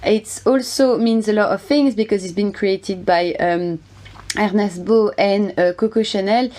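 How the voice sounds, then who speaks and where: A woman describes her favourite perfume in an earnest, halting way, often pausing on uh. Her voice sits at an ordinary middle pitch. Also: darkish tone. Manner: earnest, many fillers and uh pauses. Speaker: fragrance reviewer. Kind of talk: guest segment in a video collaboration.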